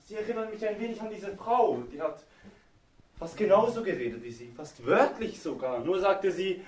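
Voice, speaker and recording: Actor as sneering crook, very high, swooping voice, distant echoey stage. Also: male voice, animated, normal speed. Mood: taunting. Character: menacing male criminal taunting a blind woman. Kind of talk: stage thriller performance. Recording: echoey stage recording from far off.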